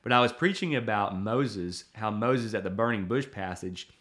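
A man talks in a slightly low voice, hosting a podcast as a preacher. He rambles casually through a self-deprecating story, peppered with "uh" and "you know.". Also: expressive, moderate pace, a bit bright. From Tennessee. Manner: chatty, self-deprecating, frequent filler words.

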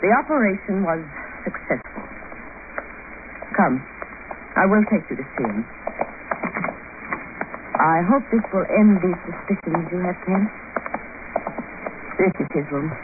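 Cool, haughty surgeon, old radio. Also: female voice, on the low side, animated, a little slow, pauses often, narrow, tinny audio. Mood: cool, defensive. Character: cool, haughty woman surgeon under suspicion. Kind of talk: 1950s radio drama acting. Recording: noisy, band-limited old radio recording.

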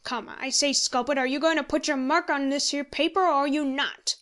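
A woman voicing a scheming, impatient old male pensioner who bullies in forceful, rustic speech for an audiobook story. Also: fairly high pitch, normal speed, lightly acted. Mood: scornful.

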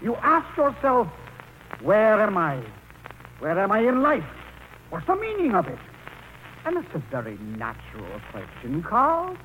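An actor playing a pompous older husband who philosophizes in grand, precise words, 1940s radio drama style. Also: male voice, sits high, wildly swooping pitch, measured pace, long pauses, a bit bright, exaggerated voice. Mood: lofty, lecturing. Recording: muffled, faintly noisy vintage radio recording.

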